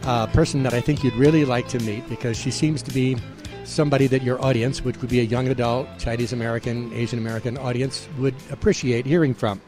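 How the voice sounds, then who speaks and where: A man's voice: mid-range voice, steady tone, over music. Man hosting a radio talk show. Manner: measured, with frequent uh fillers. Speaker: radio host. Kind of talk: radio interview.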